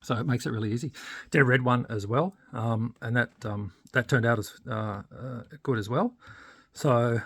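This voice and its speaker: A man giving a casual hands-on electronics demonstration, explaining informally and haltingly with frequent pauses. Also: mid-range voice, gravelly, a bit bright, husky, no echo. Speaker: electronics hobbyist presenter.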